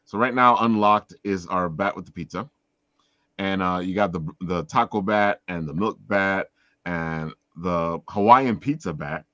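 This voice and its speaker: A man's voice: low-pitched, a little slow, fairly bright tone, no room sound. A man hosting a casual livestream chat in a rambling, informal way, prone to giggling, over slightly dull lo-fi call audio. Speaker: host. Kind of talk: casual livestream chat promoting crowdfunding projects.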